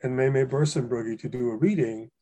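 An older man from Hawaii telling stories about fellow poets in a relaxed conversation, with frequent fillers and restarts. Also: medium pitch, brisk. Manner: rambling, many fillers and restarts, warm anecdotes. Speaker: poet and guest. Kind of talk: reflective storytelling conversation.

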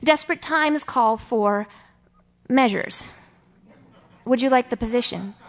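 A woman's voice: on the high side, slow. A woman voicing a flustered, wordy male office interviewer in an audiobook, with a fussy, formal and politely hesitant manner. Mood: earnest, insistent. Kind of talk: audiobook character dialogue.

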